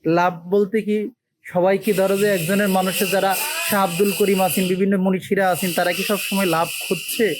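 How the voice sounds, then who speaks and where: A male host with a Bangladeshi Bengali accent conducts a human-interest interview in the field. He talks quickly in a high register, informally probing. People can be heard chattering behind him. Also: little pitch movement, a crowd in the background, rough recording. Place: on location in a village.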